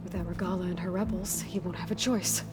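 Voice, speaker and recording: A woman's voice: lowish voice, steady tone, fast talker, natural voice. A woman playing a brave, determined young warrior in a video game, intense and direct, protective and defiant. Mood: grimly resolved. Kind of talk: cinematic video game acting. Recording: music behind the voice.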